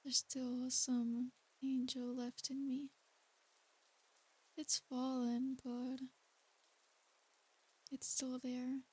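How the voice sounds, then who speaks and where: An ancient female demon, acted by a woman, murmurs in a soft ASMR roleplay. Her voice is high and breathy, with an almost flat, unhurried melody. She is tender, doting and seductive, soothing the listener as if at bedtime. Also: heavily acted. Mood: reflective, gentle. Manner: slow, intimate, soothing bedtime delivery.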